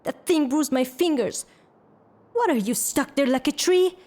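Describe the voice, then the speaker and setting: A woman's voice: high voice, lively intonation, measured pace, darkish tone. An actress playing a proud, hot-tempered lady of the house in an audio drama, imperious and theatrical. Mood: furious, wavering. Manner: imperious, theatrical, repeats commands.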